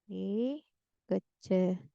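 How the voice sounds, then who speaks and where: Slow, gravelly-voiced woman tutor. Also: medium pitch, warm tone, no echo, muffled recording. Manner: thinks aloud, checks with listeners. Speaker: math tutor. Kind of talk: slow worked-example tutoring.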